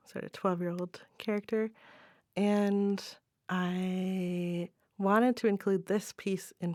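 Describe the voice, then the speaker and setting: A woman chatting as an interview guest, thoughtful and tentative, often trailing off softly. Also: on the low side, dry recording, studio quality. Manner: thoughtful, tentative, hedging with soft trail-offs. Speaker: guest playwright and spoken word poet. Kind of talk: casual interview chat. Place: studio, in person.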